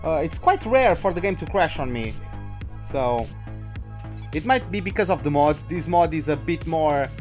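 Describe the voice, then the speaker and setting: A man's voice: fairly high pitch, animated, normal speed, darkish tone, faint music behind. A man giving casual, chatty commentary as he streams a racing game live. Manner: chatty, greets viewers by name mid-commentary. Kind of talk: casual livestream gaming commentary.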